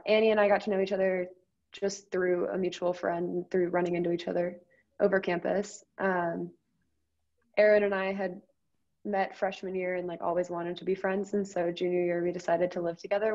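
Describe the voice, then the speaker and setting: A woman's voice: fairly low pitch, level tone, normal speed, a little mellow. A 23-year-old American woman from the Midwest, telling a story casually as a guest. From Iowa and Ohio, United States. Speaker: interview guest. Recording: dull lo-fi audio.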